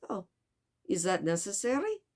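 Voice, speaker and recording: A woman's voice: medium pitch, wildly swooping pitch, moderate pace, a little mellow, voice pushed up. A woman voicing a courteous, deferential French manservant from a storybook, with an attempted French accent and a congested nose. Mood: polite.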